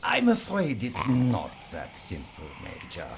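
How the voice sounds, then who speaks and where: Muffled noisy audio, treacherous nazi officer, knowing, voice leaps all over. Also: male voice, mid-range voice, huge pitch swings, unhurried. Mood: sly. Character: sly, treacherous German Nazi camp officer. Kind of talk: audio drama acting.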